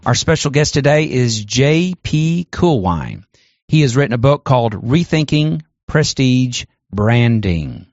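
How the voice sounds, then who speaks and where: A male host opens the show and puts questions to his guest in a brisk, formal, announcer-like way. His voice sits at a medium pitch. It sounds dry and slightly muffled, with no room echo. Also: sing-song, darkish tone. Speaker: podcast host. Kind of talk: scripted interview introductions and questions.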